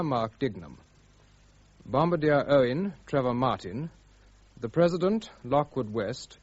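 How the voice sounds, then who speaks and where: A man's voice: medium pitch, dry recording. A man reading cast credits formally as a radio continuity announcer. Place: dry radio studio. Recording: dull old radio recording, dry studio.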